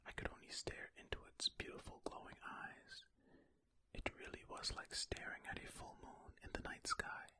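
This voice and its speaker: An ASMR host whispering breathily ear to ear into a close stereo microphone, reading a story aloud in an unhurried, musing way with casual asides. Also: sharp tone.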